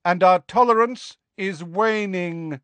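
A man voicing a proud, unforgiving male centaur leader who makes measured, solemn pronouncements in an audiobook story. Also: medium pitch, expressive, dry recording, voice pushed up. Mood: warning.

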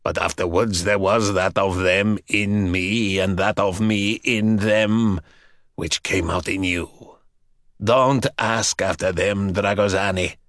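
A man voicing an ancient buried male vampire who speaks in slow, mocking, archaic phrases. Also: sits low, steady tone, a bit bright, dry recording, studio quality, pitched below the performer's own voice. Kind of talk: audiobook character voice.

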